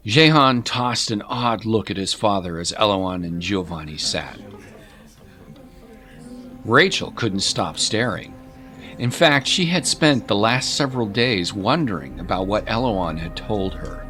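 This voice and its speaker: A male audiobook narrator reads dramatic fiction. His voice sits low and moves with lively intonation, with faint music underneath. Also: unhurried. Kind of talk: dramatic scripted story narration.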